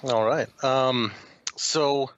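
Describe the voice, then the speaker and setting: A man's voice: mid-range voice, fairly even intonation, very slow, a bit bright, dry recording, muffled recording. A young man from Chicago, a podcast guest chatting casually with lots of fillers and restarts. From Chicago, USA. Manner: rambling, frequent fillers and restarts. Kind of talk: casual interview chat.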